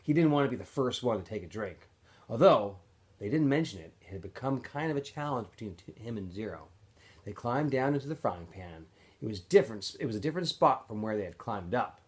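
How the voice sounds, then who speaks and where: A man reading a novel aloud as a teacher would for students, in an expressive, animated storytelling way with phrases that often trail off softer. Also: fairly low pitch. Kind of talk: expressive audiobook-style read-aloud narration.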